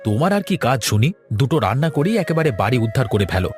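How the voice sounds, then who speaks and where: A man's voice: mid-range voice, sing-song, very fast, natural voice. A man voicing a practical, conciliatory village farmer husband in a folk-tale cartoon. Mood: placating. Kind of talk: animated cartoon voice acting. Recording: music under the voice.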